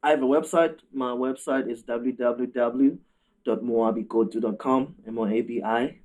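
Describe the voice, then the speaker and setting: A man with a South African accent speaks as a guest artist in an interview. His voice sits at a medium pitch, and he answers in a conversational way. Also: steady tone, normal speed, a little mellow.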